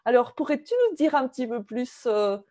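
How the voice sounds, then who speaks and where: Upbeat woman host, slightly high voice, laughs between questions, video call. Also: a little fast, a little mellow. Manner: upbeat, with frequent laughs and hesitations. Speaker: interview host. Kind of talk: warm video interview.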